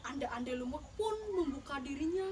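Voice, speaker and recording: A woman's voice: high-pitched, moderate pace. A young woman telling a folktale in a theatrical, emphatic storytelling style, as if in a contest. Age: young adult. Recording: distant mic, clearly noisy background.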